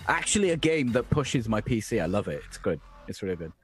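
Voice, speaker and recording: A man giving a brash, profane, teasing shout-out as a player. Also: fairly low pitch, big pitch swings, fast talker, husky, soft background music. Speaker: player and streamer. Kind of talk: casual stream shout-out.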